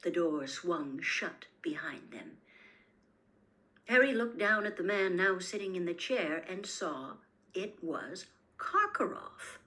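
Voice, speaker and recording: A woman's voice: on the low side, expressive, slow pace, pushed and bright. A woman reading a story aloud as scripted audiobook-style narration.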